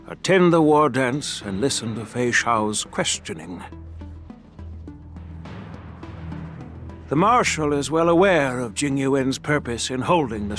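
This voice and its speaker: An actor playing a genial, candid older general in a video game, speaking in a measured, courteous and formal way. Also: male voice, mid-range voice, natural voice. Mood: frank, measured. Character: genial elder male general, wry and candid.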